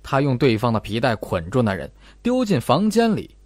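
A man's voice: medium pitch, wildly swooping pitch, moderate pace, a little mellow, dry recording. A man reading a suspense novel aloud as a dramatic scripted narration. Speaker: audiobook narrator.

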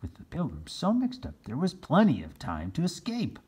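A man reading a children's storybook aloud in an animated narrating style. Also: a little high, normal speed, slightly rough. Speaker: storybook reader.

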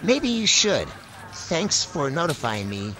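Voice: medium pitch, sing-song, slow, fairly bright tone. A performer voicing a grumbling but loyal male police colleague in an audio roleplay.

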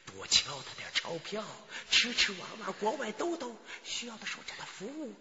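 A man's voice: high-pitched, wildly swooping pitch, moderate pace, very noisy, full character voice. An actor playing a sly, wheedling company manager and loyal henchman in a theatrical radio drama. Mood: exasperated. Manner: theatrical, cajoling and menacing by turns. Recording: dull recording with long reverb.

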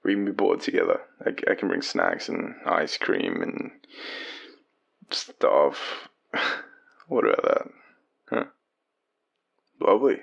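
A man playing a shy, gravelly, deep-voiced Australian friend. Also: huge pitch swings, muffled recording, full character voice. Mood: awkward, casual. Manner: hesitant, halting, chuckling, stammering. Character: shy, easygoing young man smitten with his friend. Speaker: audio roleplay performer. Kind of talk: intimate boyfriend-style audio roleplay.

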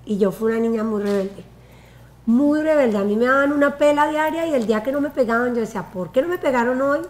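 A Colombian woman in her forties tells her life story on a sofa, her voice sitting a little high. She talks in an animated way and gestures with her hands. She is on a lapel mic in a bright living room. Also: quick pace. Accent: Colombian Spanish. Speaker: guest. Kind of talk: casual interview storytelling.

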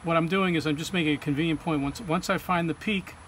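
A man's voice: fairly high pitch, fairly even intonation, fast, fairly bright tone. A man talking through an informal technical tutorial step by step, in a plain-spoken way as he demonstrates. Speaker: technician demonstrating equipment calibration. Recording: clear background hum or ambient noise.

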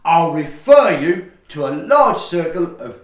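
A man's voice: on the high side, sing-song, measured pace. Guy playing a touchy pompous victorian gent, stagey farce, voice swoops around, bit roomy. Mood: pompous, confiding. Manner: pompous, mannered, formal. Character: indignant, theatrical Victorian gentleman lodger, pompous and touchy. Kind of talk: stagey comic farce acting for an audio play.

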